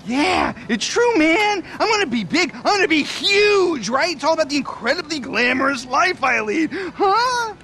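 An actor playing a boastful, pushy American paparazzo in a game cutscene, excitable, wheedling and stammering. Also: male voice, extremely high pitch, normal speed, hardly any pauses, slight background noise, heavily acted. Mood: boastful excitement. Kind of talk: video game cutscene acting.

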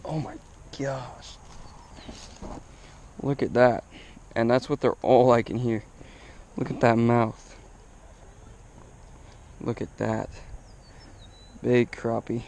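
Man, fishing vlogger, fry-laden voice, casual slangy chat, excitable, outdoor action-cam audio, faint noise. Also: mid-range voice, takes it slowly, long pauses. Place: outdoors on a grassy reservoir bank. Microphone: body-worn action camera mic.

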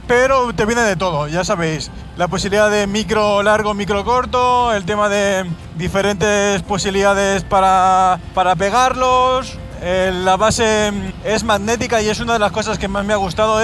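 Spanish man reviewing gear over music. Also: fairly high pitch. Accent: Spain. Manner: colloquial, explanatory, addressing viewers with check-in tags. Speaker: motorcycle vlogger reviewing gear. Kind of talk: casual product review. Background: background music.

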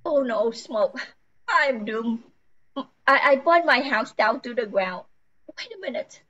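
A woman's voice: on the high side, animated, slow pace, sharp tone, dull audio, exaggerated voice. An older woman playing a frantic, forgetful housewife who pleads anxiously, in an amateur radio play. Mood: panicked.

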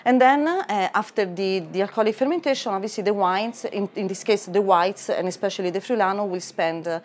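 A woman's voice: medium pitch, a little fast, a little hiss or hum. A woman in her thirties with an Italian accent, a wine merchant describing a wine carefully with frequent hesitations in a wine cellar. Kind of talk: tasting discussion.

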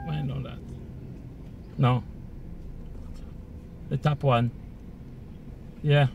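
A man's voice: medium pitch, steady tone, extremely slow, darkish tone, lo-fi sound. A middle-aged man talking casually, giving brief instructions to a companion, picked up by someone else's phone. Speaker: passenger coaching a driver. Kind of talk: casual chat. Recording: very noisy car and store ambience.

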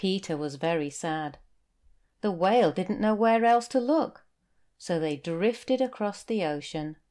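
A British woman teacher narrating a children's storybook for learners in a deliberate, expressive way. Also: fairly low pitch.

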